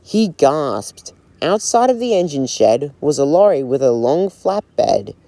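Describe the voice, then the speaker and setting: A man narrating a railway storybook as a scripted read, in a slow, gentle storytelling manner. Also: on the high side, very animated, no room sound.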